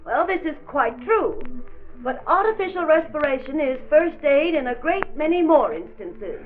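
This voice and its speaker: A woman playing an American first-aid instructor in a 1940s radio drama, lecturing formally and explaining things clearly. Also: sits high, big pitch swings, normal speed. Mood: instructive. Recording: muffled 1940s radio transcription disc.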